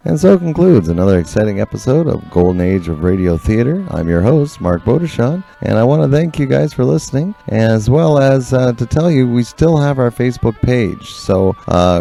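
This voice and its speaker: A man's voice: mid-range voice, gravelly, warm tone. A man hosting a radio show, talking casually to his listeners.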